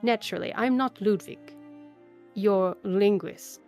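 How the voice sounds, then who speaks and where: A woman voicing a mysterious stranger who poses as a linguist, reading a game story aloud in a measured, knowing way. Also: mid-range voice, gravelly, a little mellow, soft background music. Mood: cool.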